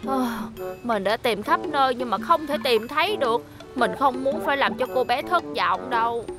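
Anxious, high-pitched cartoon school bus. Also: female voice, lively intonation, normal speed, over music. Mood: worried. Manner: earnest, fretful. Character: anxious, kind-hearted young male school bus. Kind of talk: dubbed children's cartoon acting.

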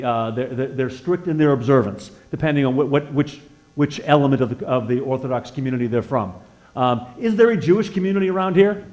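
A man in his sixties with an American accent preaches informally from a church lectern. His voice sits at a middle pitch. He talks conversationally, often doubling back on words as he searches for the next one, and turns to his listeners with questions as he shares personal testimony. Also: a little mellow, dull audio. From northeastern United States. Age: 60s. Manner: halting, repeats words as he searches for them. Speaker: guest preacher. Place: church sanctuary, indoors, at a lectern.

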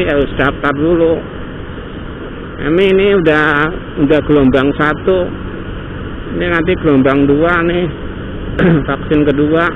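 A man from Indonesia chatting casually as a motovlogger while riding outdoors. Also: fairly high pitch. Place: outdoors, riding a motorbike on city roads. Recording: dull helmet mic with loud traffic and engine noise. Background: loud engine, wind and passing traffic.

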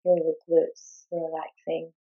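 A woman leading a guided meditation, giving gentle, soothing instructions in short, unhurried phrases with long pauses. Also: deep voice, completely flat delivery, breathy edge, phone-quality sound.

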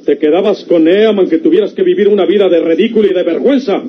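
An actor playing a stern, domineering rural patriarch with a Mexican country accent in a radio serial, speaking in an emphatic, commanding manner. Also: male voice, high voice, fast, a little hiss or hum, lightly acted. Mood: commanding, angry. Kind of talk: old radio serial acting. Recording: dull vintage radio recording with music.

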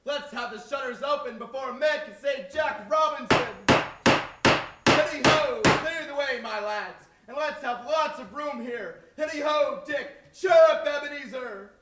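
A man's voice: very high-pitched, a little slow. A man on stage playing a jolly, hearty old master calling out in a Christmas play. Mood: jovial, calling out. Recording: distant echoey stage, loud noise.

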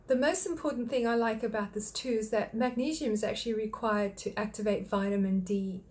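An older woman from Australia gives a friendly health talk into a webcam in a small home office. Her voice sits a little high, and she works through her points one at a time in a patient, explanatory way. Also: fairly even intonation, fairly quick, darkish tone. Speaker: thyroid clinic practitioner. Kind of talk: direct-to-camera health talk.

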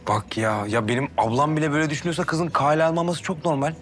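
A man's voice: a little high, lively intonation, very fast, fairly bright tone. An actor in his thirties playing a determined, lovestruck man in a TV drama. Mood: earnest. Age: 30s. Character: determined, lovestruck younger brother, used to giving orders. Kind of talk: TV drama acting, conversational. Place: restaurant interior. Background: music.

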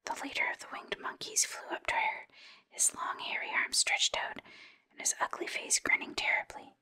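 A woman softly whispering a storybook aloud in a steady, unhurried narration for ASMR.

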